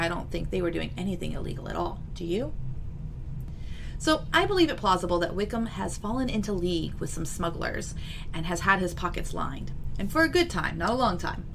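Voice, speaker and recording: A woman's voice: mid-range voice, a bit bright. A middle-aged woman talking to camera as an author introducing her book, chatty and wry with knowing asides, in a home office. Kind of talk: semi-scripted video introduction to camera. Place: small home office with bookshelves, indoors. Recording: clearly noisy room recording.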